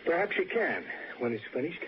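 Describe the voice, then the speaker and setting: An actor playing a grave, obsessive crime forecaster with a deliberate, theatrical delivery in a 1960s radio suspense drama. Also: male voice, fairly high pitch, sing-song. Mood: intense, confident. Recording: slightly noisy, muffled 1960s radio recording.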